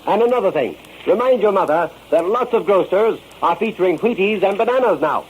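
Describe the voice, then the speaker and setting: A man's voice: sits high, expressive, a little fast, poor audio. A man announcing a folksy, persuasive 1930s radio advertisement aimed at children. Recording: old radio recording, faint noise.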